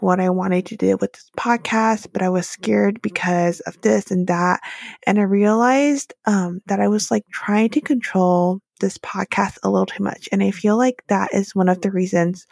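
A woman's voice: medium pitch, creaky, a little mellow, no echo, clean studio sound. A woman in her late twenties hosting a solo podcast, talking candidly and reflectively with plenty of "like" and "um". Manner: chatty, candid, lots of "like" and "um" fillers. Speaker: podcast host.

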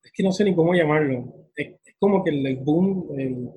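A young man with a husky voice, chatting casually and effusively as he interviews someone. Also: a little high, moderate pace, dark tone, poor audio.

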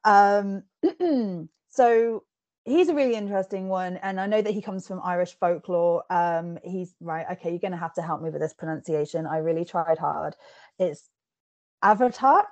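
A woman's voice: on the low side, moderate pace, a little mellow, no echo, lo-fi sound. A woman hosting an interview, talking casually with frequent giggles, fillers and restarts over a remote call. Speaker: podcast host interviewing an author.

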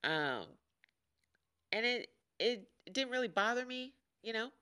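Podcast host, woman in her 40s from Chicago; deep nasal tone, sharp edge, frank and joking. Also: lively intonation, measured pace, smooth. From Chicago, USA. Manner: frank and joking, laughs often. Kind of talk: casual candid monologue.

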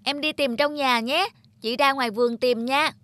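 A woman's voice: sits high, fairly even intonation, moderate pace, a bit bright, a little hiss or hum. A woman playing a stubborn young country girl with a childlike manner in a cartoon folk tale. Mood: puzzled, concerned. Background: prominent background music.

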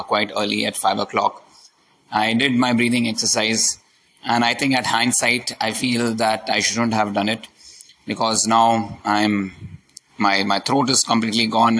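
A hoarse man, slowly talking through his day on a personal diary podcast from his new apartment, halting with many fillers. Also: fairly low pitch, a bit bright, a little hiss or hum, rough recording. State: hoarse from a sore throat. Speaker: podcast host. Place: new rented apartment, indoors.

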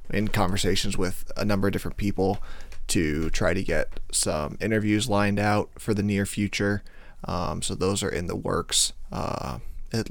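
A male podcast host with a slightly hoarse voice, talking casually in a rambling way with many fillers. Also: sits low, no room sound. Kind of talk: casual solo podcast intro.

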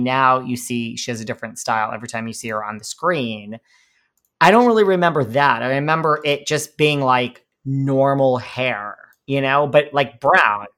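A man's voice: medium pitch, a bit bright. Chatty man with a slight New York accent. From Connecticut and New York. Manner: chatty, rambling, campy asides. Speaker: podcast guest. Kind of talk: casual interview chat.

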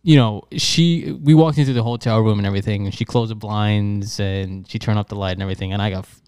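A man's voice: on the low side, fairly even intonation, fast talker, a little mellow, clear, resonant tone, dry recording, clean studio sound. A young adult man co-hosting a casual chat, talking hesitantly with many fillers and restarts. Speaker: podcast co-host.

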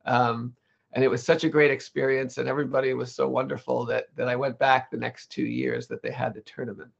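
Man, interview guest, gravelly, husky, thoughtful restarts, muffled lo-fi audio. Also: medium pitch, flat delivery, a little fast. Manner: thoughtful, frequent restarts and self-corrections. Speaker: interview guest, legal English lecturer. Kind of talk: relaxed conversational interview.

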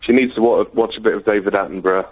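Low, slightly croaky man on a phone line. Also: rapid-fire, no echo. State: head cold, slightly croaky. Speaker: phone-in caller. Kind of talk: casual explaining on a radio phone-in. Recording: muffled, narrow phone line on radio.

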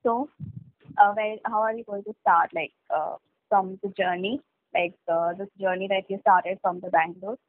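A woman's voice: medium pitch, steady tone, moderate pace, no room sound. A woman hosting a podcast, prompting her guest with short questions. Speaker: podcast host. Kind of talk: casual interview. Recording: dry, tinny phone-like line.

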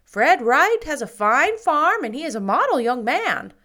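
A woman's voice: sits high, sing-song, measured pace, sharp tone, voice pushed up, full character voice. A woman voicing a gossipy older woman who talks solemnly and emphatically, full of opinions. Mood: emphatic.

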